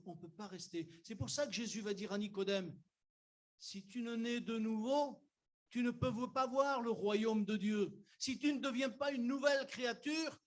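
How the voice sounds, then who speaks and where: Older male pastor, high voice, conversational sermon delivery, bursts of emphasis. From Algeria. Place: church.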